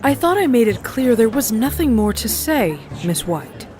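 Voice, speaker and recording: A woman's voice: a little high, big pitch swings, moderate pace, a little mellow, a little hiss or hum. A woman playing a proud, cutting noblewoman with a formal manner in an audio drama. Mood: haughty, challenging.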